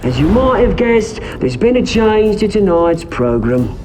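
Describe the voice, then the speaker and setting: A man's voice: sits high, very animated, unhurried, darkish tone. An actor playing a flamboyant, sadistic male villain who talks in a theatrical, mocking way. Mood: mocking, menacing. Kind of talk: dramatic game voice acting. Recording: echoey hall, music behind.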